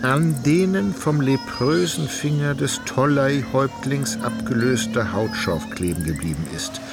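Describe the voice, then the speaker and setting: A man giving formal, measured literary narration in a radio drama. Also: mid-range voice, expressive, vocal fry, over loud music. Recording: loud ambient vehicle-like noise behind.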